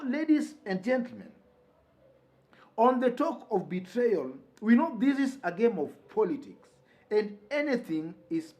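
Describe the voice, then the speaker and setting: A high-voiced man with a Kenyan accent delivers political commentary as a host. His intonation rises and falls widely as he talks. He is emphatic, restating key phrases to give them weight. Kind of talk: news commentary to camera or listeners.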